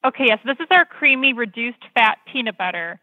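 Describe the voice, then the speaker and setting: Woman, phone-line sound, fairly high voice, polite, patient, explanatory customer service. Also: expressive, brisk, dry recording. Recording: thin, narrow telephone line.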